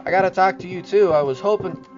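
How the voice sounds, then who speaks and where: An actor playing a casual, eager small-town teenage son in an audio drama, talking colloquially. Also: male voice, sits high, fast talker, a little mellow, faint music behind, natural voice. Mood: uneasy. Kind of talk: horror audio drama dialogue. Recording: muffled audio with faint background noise.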